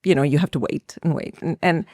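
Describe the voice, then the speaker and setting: A woman's voice: sits low, fast talker, dark tone, dry recording. Middle-aged woman telling her story, dry sound. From India. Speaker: podcast guest, actor. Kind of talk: conversational interview storytelling.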